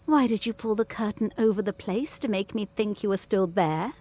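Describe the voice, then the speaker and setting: A woman playing an anxious, probing young governess in a gothic audio drama, earnest, measured and intense. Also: medium pitch, mellow, lightly acted. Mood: wary questioning.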